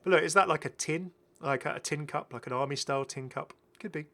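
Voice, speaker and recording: A man's voice: a little high, fast talker, fairly bright tone. A British man giving casual, enthusiastic, rambling commentary as the host of a gaming video. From Britain. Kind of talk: casual commentary over game footage.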